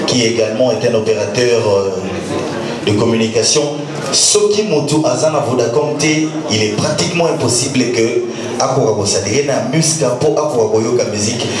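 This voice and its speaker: Male reporter, long winding questions, distant mic, heavy room echo. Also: medium pitch. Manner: long, rambling questions mixing languages. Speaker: journalist asking questions. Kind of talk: press conference question. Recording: echoey hall from far off, music.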